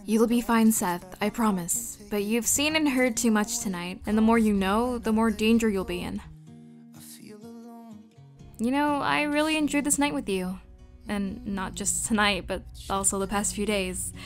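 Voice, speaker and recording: A woman voicing a gentle, dutiful young witch in an amateur roleplay, sincere and soft. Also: medium pitch, measured pace, faint music behind, lightly acted. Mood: tender. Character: young female witch protector, tender and dutiful.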